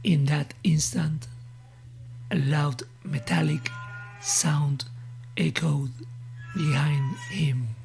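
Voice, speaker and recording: A woman reading scripted horror narration in a hushed manner. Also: sits low, fairly even intonation, extremely slow, creaky, pauses often, soft background music.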